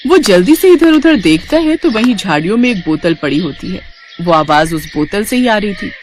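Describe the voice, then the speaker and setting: A woman tells a scripted moral story. Her delivery is expressive, moving up and down in pitch, and there is faint noise behind her voice. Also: moderate pace.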